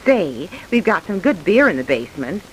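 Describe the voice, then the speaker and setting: An actress playing a kindly, chatty American housewife in an old-time radio drama. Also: female voice, lowish voice, sing-song, moderate pace, rough recording. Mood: friendly, hospitable. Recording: hissy vintage radio recording.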